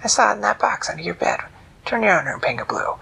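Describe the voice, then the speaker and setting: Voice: medium pitch, big pitch swings, fast talker, gravelly, bright, forceful tone, husky, voice pushed up, full character voice. A storyteller voicing a male jackal trickster who speaks in a smug, teasing whisper.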